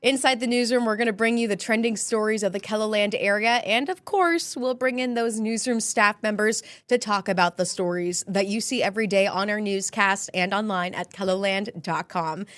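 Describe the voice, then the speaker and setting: A young woman anchoring a newsroom segment, her nose stuffy and congested from a cold. She talks in a chatty, bubbly way with lively upswings. The studio sound is close and dry. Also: medium pitch, normal speed, hardly any pauses, no room sound. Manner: chatty and bubbly, rising inflections. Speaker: news anchor hosting a digital segment. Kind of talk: upbeat scripted news hosting.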